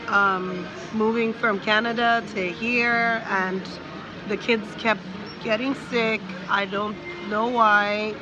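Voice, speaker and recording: A woman's voice: fairly high pitch, highs cut off. A woman guest in a casual interview, telling her story frankly and rambling a little with fillers. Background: background music.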